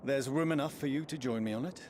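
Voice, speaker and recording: An actor playing a polite, scholarly young diplomat who speaks formally with old-fashioned phrasing in a video game cutscene. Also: male voice, medium pitch, fast talker, noisy background, natural voice. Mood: earnest.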